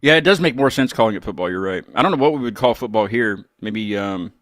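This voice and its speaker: A man's voice: fairly low pitch, animated, quick pace, a bit bright, dry recording, studio quality. A man hosting a casual interview show, chatting informally. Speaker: host of a band interview show.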